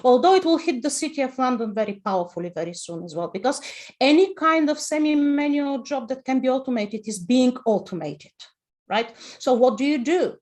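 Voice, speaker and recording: A woman's voice: high voice, lively intonation, a little fast, darkish tone. A woman in her fifties from Bulgaria with a Bulgarian accent, speaking passionately as a guest on an online panel discussion, over a video call, posing rhetorical questions. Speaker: panel guest, personal finance writer.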